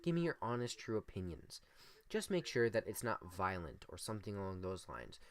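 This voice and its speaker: A young man with a deep, monotone voice co-hosting a casual improvised podcast and rambling through his points on a desktop USB mic. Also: a little fast, clear, resonant tone. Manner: rambling, filler-heavy. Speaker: podcast co-host.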